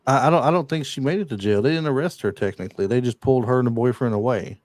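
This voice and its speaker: A man's voice: medium pitch, quick pace, darkish tone. A man with a mid-range voice hosts a casual podcast, retelling film scenes and reeling off trivia. He stops and starts often. His voice sounds dry and close, with no room echo. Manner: retells movie scenes and reads off film trivia, with many fillers. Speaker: podcast host. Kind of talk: casual chat.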